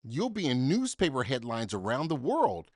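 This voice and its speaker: A man's voice: mid-range voice, huge pitch swings, moderate pace, a little mellow, dry recording, studio quality. A man voicing encouraging space mission astronauts in an emphatic children's storybook delivery. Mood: delighted.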